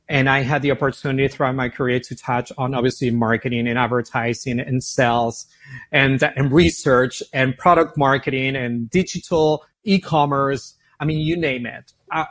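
Argentine-accented founder, animated and candid. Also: male voice, mid-range voice. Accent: Argentine Spanish. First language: Spanish. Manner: animated, repeats words while thinking aloud. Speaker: founder and CEO. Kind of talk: interview answers.

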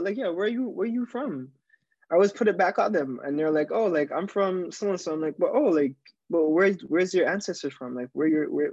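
A man's voice: a little high, a little mellow, no room sound. A young man as a podcast guest, speaking frankly with an exasperated edge and repeating words as he goes. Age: young adult. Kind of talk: casual conversational interview. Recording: dry, muffled lo-fi audio.

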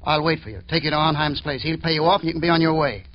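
A man's voice: a little high, very fast, lightly acted. A man acting a tough, menacing gangster henchman in an old radio drama, sneering and impatient. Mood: bullying, impatient. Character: hard, menacing gangster henchman bullying an underling. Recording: dull, noisy old radio recording.

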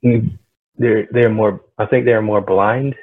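A man's voice: fairly low pitch, fairly even intonation, slow, husky. A young man answering as a podcast guest, hesitant and brief in his replies. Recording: tinny phone-line sound.

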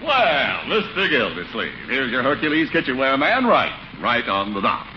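A man's voice: medium pitch, wildly swooping pitch, moderate pace. An actor playing an ingratiating, flirtatious kitchenware salesman with hearty patter in 1940s radio comedy style. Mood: salesmanlike. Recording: noisy, dull 1940s radio recording.

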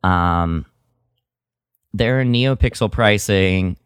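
Male co-host, smooth and unhurried, casual halting delivery, very dry sound. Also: low voice, no echo. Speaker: podcast co-host. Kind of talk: casual chat.

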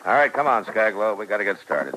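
An American actor playing a dry, firm, no-nonsense frontier marshal with unhurried authority in a 1950s radio western. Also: male voice, lowish voice, big pitch swings. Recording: noisy, crackly old radio recording.

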